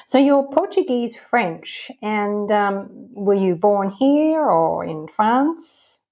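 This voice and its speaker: A woman hosting a podcast, reading a measured, formal introduction and then asking questions in a friendlier tone, recorded over a phone call. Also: mid-range voice, expressive, a little mellow. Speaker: podcast host. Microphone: phone over a messaging-app call.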